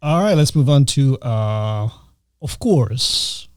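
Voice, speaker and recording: A middle-aged man from Greece hosting a podcast in casual chat, often pausing with uh and um. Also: mid-range voice, expressive, no echo, clean studio sound. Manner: hesitant, many uhs and ums. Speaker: podcast host.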